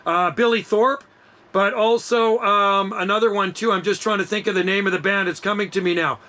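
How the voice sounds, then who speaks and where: A man's voice: high-pitched, steady tone, normal speed, bright, forceful tone. A middle-aged man chatting casually as an amateur radio operator, enthusiastic and slangy. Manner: enthusiastic, laid-back slangy talk.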